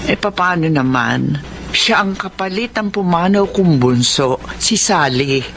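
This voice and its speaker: A woman's voice: fairly low pitch, animated, a little slow, fairly bright tone, a little hiss or hum. An actress playing a doting, anxious elderly Filipina grandmother in a radio drama, emotional and pleading. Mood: earnest, gentle. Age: older.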